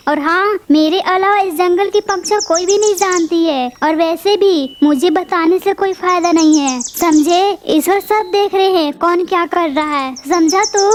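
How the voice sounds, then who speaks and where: Cartoon lady parrot, blunt, tells people off, very high voice, woman voicing her. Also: slight background noise. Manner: scolding, blunt. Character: blunt scolding female parrot.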